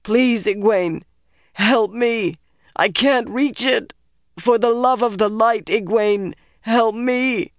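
A woman's voice: on the low side, a little slow, dry recording, clean studio sound, pitched above the performer's own voice. A woman acting a desperate, dying young man. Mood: frantic pleading. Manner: strained, pleading.

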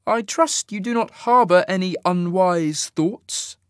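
A haughty alien officer speaks in measured, formal sentences, as a male narrator puts him on. The voice sits well above the reader's own, cool and exact. It is recorded close and dry. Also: sits high, no room sound, pitched above the performer's own voice. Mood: cold.

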